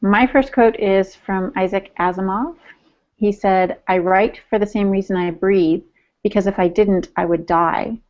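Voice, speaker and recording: A woman's voice: mid-range voice, steady tone, measured pace, darkish tone, muffled recording. A woman speaking as a podcast co-host in a casual, earnest and personal way. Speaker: podcast co-host discussing writing.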